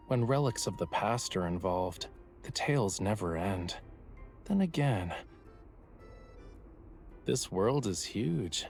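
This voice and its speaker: A man's voice: lowish voice, animated, slow pace, pauses often, a little mellow, soft background music. A man playing a teasing, playful young male guide in a dramatic game-style story, speaking casually.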